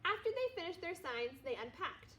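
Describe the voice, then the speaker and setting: A woman teacher reads a children's story aloud. Her voice is high, and her storytelling is expressive, made for young listeners. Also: normal speed. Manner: expressive, acts out dialogue. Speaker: second-grade teacher.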